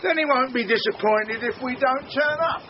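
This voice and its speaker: An actor playing a grumbling, sardonic, greedy British chief inspector in a radio comedy mystery. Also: male voice, very high voice, normal speed. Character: grumpy, greedy, lazy middle-aged police chief inspector. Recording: dull lo-fi radio drama, some noise.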